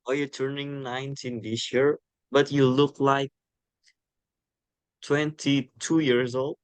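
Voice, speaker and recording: A man's voice: mid-range voice, little pitch movement, takes it slowly, clean tone, no echo. A twenty-year-old man, a non-native English speaker and university student, interviewing a classmate with hesitant speech full of fillers.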